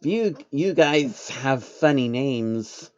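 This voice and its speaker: A man voicing a naive, excitable male insectoid pony creature from a fan storybook reading, curious and wide-eyed. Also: mid-range voice, very slow, dull audio.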